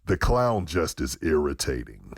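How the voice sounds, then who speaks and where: A man's voice: a bass voice, sing-song, measured pace, no room sound, studio quality. A man reading written reviews aloud on a podcast in a scripted delivery. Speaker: podcast review segment reader.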